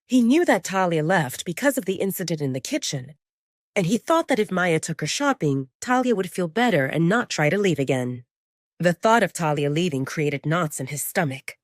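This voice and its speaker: Lowish, expressive woman storyteller. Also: dry recording, studio quality. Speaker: narrator. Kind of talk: scripted dramatic story narration.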